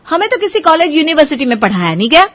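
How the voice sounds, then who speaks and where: A woman voicing a sharp-tongued, scheming young daughter-in-law in a cartoon moral story, sarcastic and emphatic. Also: high-pitched, very animated, rapid-fire, voice pushed up. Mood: indignant, exasperated. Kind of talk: animated Hindi moral story dialogue.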